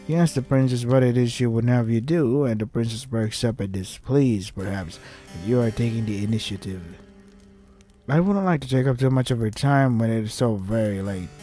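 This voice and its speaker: A man's voice: medium pitch, dark tone. A man reading a visual novel aloud and commenting on it in an irritated, sarcastic way with heavy swearing. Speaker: let's play host.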